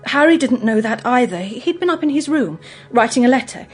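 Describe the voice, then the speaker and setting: An actress playing a gentle, guarded young English woman in a radio drama, speaking in an earnest, hesitant, confiding way. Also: on the high side, animated, brisk, husky, faint music behind. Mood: earnest, anxious.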